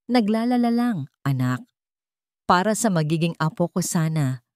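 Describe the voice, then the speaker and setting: A woman voicing an older mother-in-law in a narrated story, speaking gently and softly while feigning innocence. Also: fairly low pitch, lively intonation, normal speed, no room sound, clean studio sound, natural voice. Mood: sweetly innocent. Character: older mother-in-law, sly and falsely sweet. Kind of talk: storybook narration with acted dialogue.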